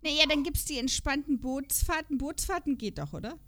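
A woman's voice: high voice, expressive, a little fast, fairly bright tone. A woman podcast host chatting casually in a talkative, rambling way. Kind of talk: casual chat.

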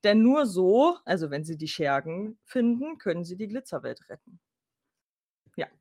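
A woman's voice: lowish voice, big pitch swings, moderate pace, dark tone, dry recording, studio quality. A woman co-hosting a podcast, giving a casual recap and chatting informally. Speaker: podcast co-host.

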